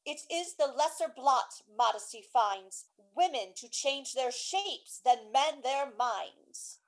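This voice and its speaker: A woman's voice: sits high, animated, slow pace, exaggerated voice, rough recording. A woman playing a spirited, earnest young lover disguised as a page boy in a Shakespeare radio drama, with theatrical verse delivery. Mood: proud reproach.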